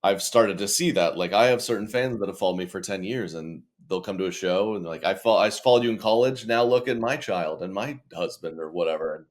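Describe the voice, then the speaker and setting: A man's voice: low voice, fairly quick, hardly any pauses. A man, a young adult, chatting casually as an interview guest in a rambling, stop-start, joking way. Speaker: comedian and children's author, interview guest.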